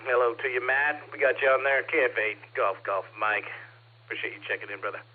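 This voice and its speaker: Ham radio net controller. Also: male voice, medium pitch, fairly quick, phone-quality sound, slight background noise. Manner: friendly, calls people brother. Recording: radio transmission.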